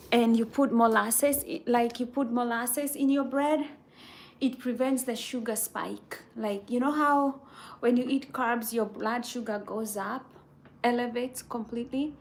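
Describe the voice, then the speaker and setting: A woman with a soft voice, chatting casually in a rambling way that circles back over phrases. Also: a little high, fairly even intonation, a little slow, a little hiss or hum. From Kenya. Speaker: vlogger chatting with viewers. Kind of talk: casual chat.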